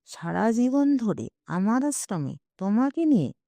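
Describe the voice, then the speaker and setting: A woman's voice: on the high side, big pitch swings, unhurried, gravelly, warm tone, dry recording, voice pushed up, exaggerated voice. A woman voicing an arrogant, self-righteous male sage in an audio story, speaking in a dismissive, domineering manner. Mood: indignant. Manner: dismissive, imperious. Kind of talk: audio story character reading.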